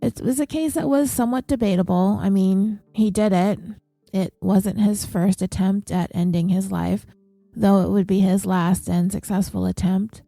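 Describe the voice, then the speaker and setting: A woman hosting a true crime podcast, reading her script with a slightly nasal, congested voice in a steady, even delivery. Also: medium pitch, normal speed, creaky, dry recording, soft background music. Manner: reads ads and listener names in an even, steady delivery.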